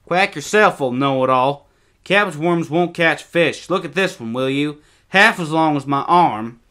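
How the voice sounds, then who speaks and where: An excitable young boy from a storybook, acted out by a man. The voice is pitched high and sharp, with a taunting, exclaiming way of talking. He bickers like a brother out in the woods. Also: unhurried. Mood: bickering.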